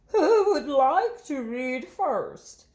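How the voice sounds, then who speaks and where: A woman voicing a kind schoolteacher in a storybook, gentle, measured and encouraging. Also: high-pitched, sing-song, voice pushed up, heavily acted. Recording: roomy echo.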